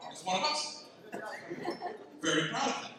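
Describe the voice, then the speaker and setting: High-voiced man in an echoey hall. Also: normal speed, vocal fry. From Palestinian. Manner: animated, teasing the crowd, high bursts when excited. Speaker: stand-up comedian. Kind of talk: stand-up comedy set at a gala. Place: large banquet hall. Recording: far-off mic in an echoey banquet hall with noise.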